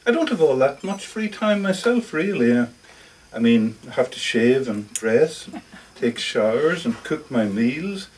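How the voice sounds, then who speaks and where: A man's voice: medium pitch, sing-song, measured pace, far from the mic, rough recording. A man reading a short story monologue as a lonely, socially awkward older lodger, confiding, hesitant and defensive, correcting himself as he goes. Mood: earnest, defensive. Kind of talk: first-person short story monologue read aloud. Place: live reading in a bookshop.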